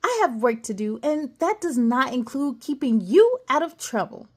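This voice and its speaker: A stern, no-nonsense tooth fairy from a children's storybook, as a woman reader acts her out. She scolds sharply and gives firm orders, her voice pitched high with lively swings. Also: normal speed, breathy edge, heavily acted. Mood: scolding. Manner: scolding, strict.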